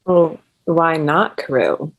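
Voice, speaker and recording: A woman's voice: low-pitched, takes it slowly, gravelly, heavily acted. A woman reading the part of a feisty, teasing young elite mountain climber in a script table read. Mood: confident.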